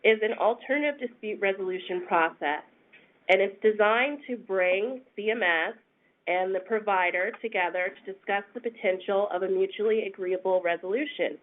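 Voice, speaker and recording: Congested woman presenting over a phone line. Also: mid-range voice. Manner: measured, explanatory, with frequent "um"s. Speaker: senior attorney presenting a webinar. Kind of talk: explanatory webinar presentation. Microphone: phone conference line.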